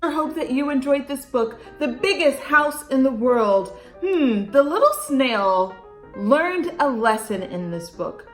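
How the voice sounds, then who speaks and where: A woman with a fairly high voice reads a storybook to young children. Her delivery is expressive, with stretched emphasis on key words, and she talks straight to her small listeners as a storyteller would. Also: faint music behind. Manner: animated, drawn-out emphasis, addresses young listeners directly. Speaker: storyteller reading a picture book aloud to children. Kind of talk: expressive read-aloud with chatty asides and questions.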